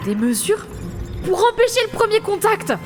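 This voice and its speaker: A woman playing an exasperated, dramatic member of a space crew in an audio comedy serial, expressive and emphatic. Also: very high voice, normal speed, faint music behind. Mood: shocked.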